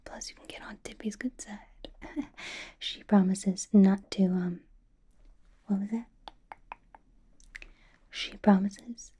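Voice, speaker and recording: A woman's voice: mid-range voice, fairly even intonation, slow pace, pauses often, warm tone, slightly rough. A woman playing a quirky, playfully suspicious young superheroine in an ASMR roleplay, coaxing and questioning in an airy whisper. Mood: confiding, playful. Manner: coaxing, playful mock-interrogation, repeats phrases. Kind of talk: ASMR roleplay, personal attention.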